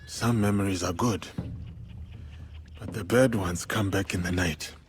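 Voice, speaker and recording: A man playing a stern, wary samurai in a video game, speaking in a measured, serious manner. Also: deep voice, a little hiss or hum. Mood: guarded. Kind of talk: dramatic game voice acting. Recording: loud music behind.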